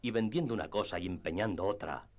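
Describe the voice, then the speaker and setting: Low-voiced male storyteller. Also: quick pace, darkish tone. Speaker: narrator. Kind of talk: scripted literary narration for radio drama.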